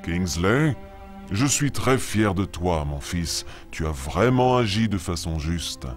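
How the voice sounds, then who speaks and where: Slow, deep, kindly father lion. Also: soft background music. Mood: proud, tender. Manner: measured, paternal, expressive. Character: kindly, proud adult father lion, patient and wise. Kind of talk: children's puppet show acting.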